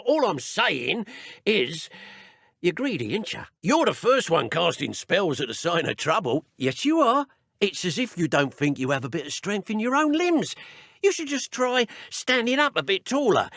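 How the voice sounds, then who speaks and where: A sneering British dark wizard from a video game, cocky and full of menacing banter. His voice is forceful and gritty, with a very animated pitch that suits his taunts. The recording is close and dry. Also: gravelly, no echo, exaggerated voice. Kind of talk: video game character voice clips.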